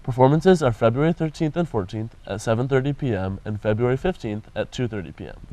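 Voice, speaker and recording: A man's voice: medium pitch, quick pace, mellow. A teenage boy anchoring a school news broadcast, reading announcements in a plain, informative way. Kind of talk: morning announcements.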